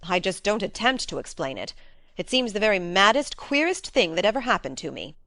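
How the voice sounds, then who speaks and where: Storybook young male heir played by a woman: blunt, informal, mid-pitched, recorded dry. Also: big pitch swings, fairly quick, bright, forceful tone, dry recording. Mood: puzzled. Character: blunt, indignant young gentleman, plain-spoken heir.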